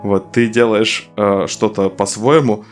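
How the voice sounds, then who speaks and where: Low-voiced man telling playful game jokes. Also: fairly even intonation, a little slow, soft background music. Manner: playful storytelling, laughs while talking. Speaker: podcast co-host, anime expert. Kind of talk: casual chat about games.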